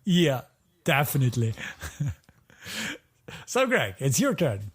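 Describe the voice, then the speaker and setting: A man's voice: medium pitch, wildly swooping pitch, takes it slowly, slightly rough. A middle-aged man co-hosting a podcast in an enthusiastic, easily amused, casual chatting style. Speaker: podcast co-host. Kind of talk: casual chat about TV shows.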